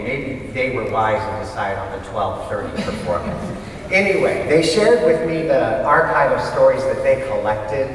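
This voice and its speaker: A man presenting and telling stories on stage in a conversational but scripted, deliberate manner. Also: mid-range voice. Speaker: readers theater presenter and storyteller. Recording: echoey hall from far off, faint noise.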